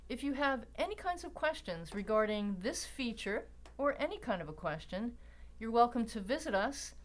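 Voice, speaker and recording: A woman narrating an instructional screencast in a deliberate, explanatory way with emphatic pauses. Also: on the high side. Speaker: library tutorial presenter.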